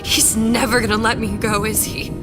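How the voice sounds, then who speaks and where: A woman's voice: on the high side, level tone, normal speed, over music, natural voice. An actress playing a frightened, desperate but determined young woman held captive in a video game. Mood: bitter, distraught. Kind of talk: dramatic video game acting.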